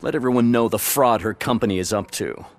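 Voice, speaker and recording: An actor playing a vengeful, aggrieved fired office worker in a video game. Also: male voice, mid-range voice, fairly quick, faint music behind, lightly acted. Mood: bitter, defiant.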